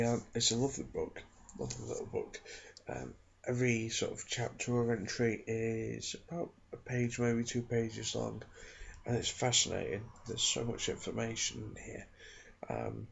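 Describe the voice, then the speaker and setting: A man delivers soft-spoken ASMR reading and informal chat. His voice is fairly low and very creaky, with a husky, breath-worn texture. The recording sounds slightly dull. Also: normal speed. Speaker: ASMR video creator and book reader.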